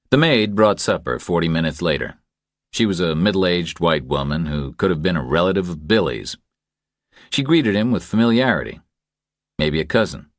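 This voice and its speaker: Deep-voiced man narrating a thriller audiobook. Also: no room sound, clean studio sound.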